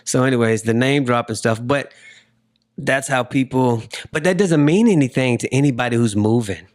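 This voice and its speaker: A man hosting a casual interview, talking loosely and working his way haltingly into each question. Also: mid-range voice. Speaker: podcast host.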